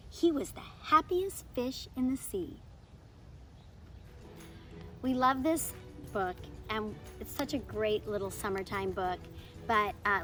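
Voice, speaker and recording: A woman's voice: on the high side, fairly bright tone. A woman hosting a children's read-aloud lesson in a friendly, upbeat way, speaking simply to parents and little ones.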